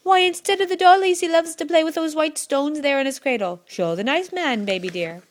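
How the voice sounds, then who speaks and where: A woman's voice: a little high, lively intonation, moderate pace, lightly acted. A woman voicing a clever giant wife who talks in a sweet, coaxing, mock-innocent way, as in a storybook. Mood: fond, beaming. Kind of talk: animated storybook character voice.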